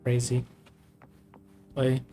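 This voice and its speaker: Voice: mid-range voice, completely flat delivery, extremely slow, mellow. A game streamer giving casual commentary in short, informal, musing remarks. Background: faint game music.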